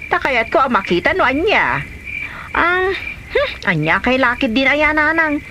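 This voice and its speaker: A nagging, prickly village woman played by an actress in an Ilocano comedy drama. Her high voice swings widely as she fusses and scolds. The recording has clear noise behind it. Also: big pitch swings, a little slow. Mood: scolding, questioning. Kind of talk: Ilocano comedy radio-style drama acting.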